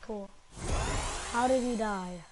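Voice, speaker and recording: A woman's voice: medium pitch, slow pace. A woman chatting casually and teasingly with a teammate while playing a game. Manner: teasing, bossy. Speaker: gamer. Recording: loud game noise behind.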